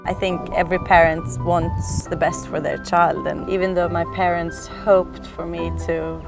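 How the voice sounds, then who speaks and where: A woman's voice: lowish voice, flat delivery, measured pace, creaky, distant mic, over music. A woman in her thirties, a Swedish actress whose first language is Swedish, speaking thoughtfully in an outdoor interview with many hesitations. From Sweden. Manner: reflective, earnest, with frequent hesitations. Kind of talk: documentary interview. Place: outdoors on a lawn.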